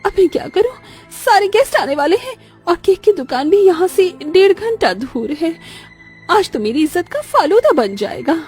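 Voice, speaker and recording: A woman's voice: very high voice, big pitch swings, normal speed, a little mellow, soft background music, voice pushed up. A woman voicing a haughty, dramatic rich young daughter-in-law in a moral-story cartoon, exclaiming theatrically. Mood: distressed.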